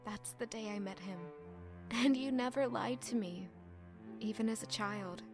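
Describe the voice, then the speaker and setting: Audio drama role: grieving young woman, played by an actress, lonely and reflective, medium pitch, music underneath. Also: unhurried, lightly acted. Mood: wistful, grieving. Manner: wistful, reflective.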